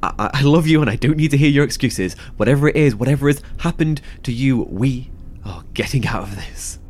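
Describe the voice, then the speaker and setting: A man acting a heartfelt, halting, foul-mouthed survivor devoted to his brother in a horror audio drama. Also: mid-range voice, darkish tone, slight background noise, natural voice. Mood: fiercely protective.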